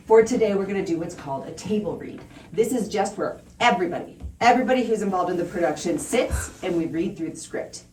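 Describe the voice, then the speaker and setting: A woman's voice: fairly low pitch, a little mellow. A woman drama teacher giving classroom instructions to children, explaining step by step in simple phrases and stressing key words. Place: indoor school classroom. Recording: roomy echo, distant mic, faint noise.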